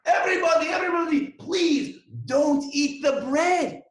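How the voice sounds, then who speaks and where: A man voicing an excitable husband who talks in a high, eager rush and repeats himself. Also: normal speed, reverberant. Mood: excited. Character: excitable man, breathless with good news.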